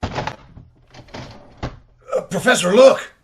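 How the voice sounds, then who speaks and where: A man's voice: sits high, very slow, a little hiss or hum. A man playing a frightened, pleading accomplice in an old-time radio drama, stammering and melodramatic. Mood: nervous. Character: frightened, pleading male cousin, timid accomplice.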